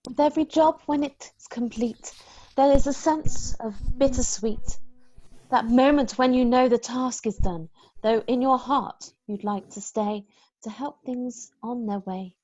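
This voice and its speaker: A woman playing a prim, brisk, kindly nanny in an amateur script read-through. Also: on the high side, darkish tone, dull audio. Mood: wistful.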